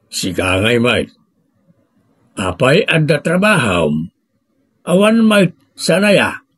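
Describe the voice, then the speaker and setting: A man's voice: on the high side, big pitch swings, slow, a bit bright, slightly rough, heavily acted. A man with a Filipino accent playing a grumbling adult family member in an audio drama, talking conversationally.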